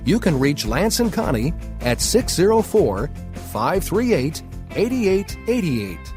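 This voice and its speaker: Animated male promo announcer over music. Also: fairly high pitch. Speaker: advertisement announcer. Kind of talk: scripted radio promo read. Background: music bed.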